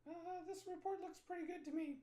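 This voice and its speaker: A man voicing an eager young agent in a soft, breathy falsetto. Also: fairly even intonation, fast talker, echoey room. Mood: earnest.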